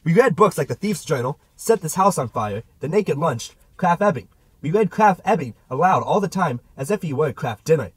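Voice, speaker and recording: A man's voice: a little high, expressive, normal speed, slightly rough, no echo. A man narrating a literary novel as an amateur audiobook reader, with a theatrical delivery.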